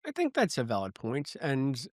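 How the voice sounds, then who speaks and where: Male podcast host, mid-pitched, casual and reflective, picking his words in stops and starts, very dry recording. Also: wildly swooping pitch, normal speed, darkish tone, no echo. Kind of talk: casual review chat.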